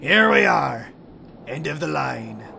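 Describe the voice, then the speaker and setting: A man playing a gruff, wry boatman guide with a gravelly voice and laconic manner, in an audio drama. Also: on the high side, sing-song, takes it slowly, bright, forceful tone, slight background noise, full character voice.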